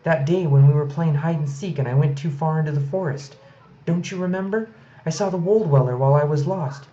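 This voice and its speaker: A man's voice: fairly high pitch, fairly quick, warm tone, pitched above the performer's own voice, close to an everyday voice. A man voicing an eager, insistent little girl in a children's storybook. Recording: slightly noisy, dull home recording.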